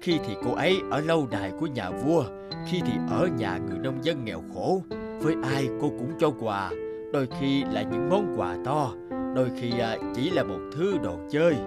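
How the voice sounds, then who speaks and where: A man voicing a kindly, wise old guardian angel in a cartoon, explaining things gently and solemnly. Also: mid-range voice, very animated, over loud music, natural voice. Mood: kindly, reassuring. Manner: slow, sententious, gently explaining. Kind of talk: Vietnamese cartoon dubbing.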